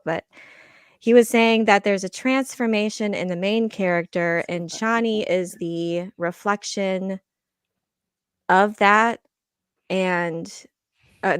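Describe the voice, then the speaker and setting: A woman on a podcast panel, explaining things casually in a halting way with restarted phrases. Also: medium pitch, clear, resonant tone, no echo, studio quality. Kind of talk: casual discussion.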